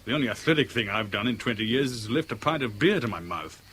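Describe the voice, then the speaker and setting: A man's voice: on the low side, expressive, fast, fairly bright tone. An actor in a vintage radio drama playing a lovesick, self-pitying man in his forties, wry and intense. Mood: wounded, bitter. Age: 40s. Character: lovesick, self-pitying forty-year-old English businessman. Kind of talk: 1970s British radio drama acting. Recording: faintly hissy vintage radio drama recording.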